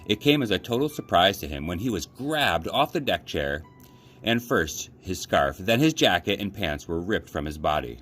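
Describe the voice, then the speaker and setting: Deep-voiced man reading a storybook expressively. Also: sing-song, normal speed, fairly bright tone. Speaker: youth librarian reading aloud. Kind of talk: expressive children's storybook narration.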